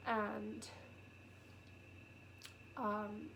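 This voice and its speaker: A young woman with a cold, sniffly and nasal, talking softly and haltingly in a personal vlog, close to tears. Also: medium pitch, monotone, creaky, a little hiss or hum. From United Kingdom. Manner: halting and soft-spoken, with many pauses and fillers. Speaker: vlogger. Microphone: camera.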